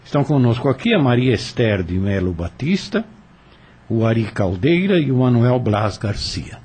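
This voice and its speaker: A husky-voiced older man with a Brazilian accent hosts a radio discussion. He sits at an average pitch and explains things haltingly, often repeating words as he goes. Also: big pitch swings, darkish tone. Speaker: radio host.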